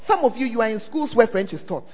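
High-voiced Ghanaian preacher in a hall. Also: male voice, lively intonation, fast talker, a little hiss or hum. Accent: Ghanaian English. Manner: call-and-response, often asks the crowd "are you there?". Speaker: pastor. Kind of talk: animated preaching sermon. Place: church hall. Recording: dull, echoing church hall recording.

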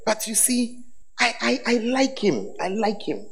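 A man preaching as a bishop, his voice hoarse, teasing the congregation with call-and-response questions. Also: high voice, sing-song, a little slow, fairly bright tone, slight background noise. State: hoarse, voice tired. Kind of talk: sermon. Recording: echoey hall with faint ambience.

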